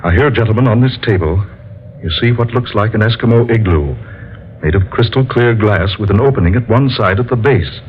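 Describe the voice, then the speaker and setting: An actor playing a solemn male inventor who explains his discovery in a slow, lecturing way, in a 1940s radio drama. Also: on the low side, natural voice. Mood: determined. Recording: lo-fi old radio recording with faint hiss.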